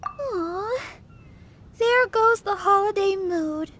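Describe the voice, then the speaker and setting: A woman voicing a sweet, girlish young companion in a video game, friendly and expressive in manner. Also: very high-pitched, drawn-out words, slight background noise, full character voice. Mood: dismayed. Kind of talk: video game character acting. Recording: music behind the voice.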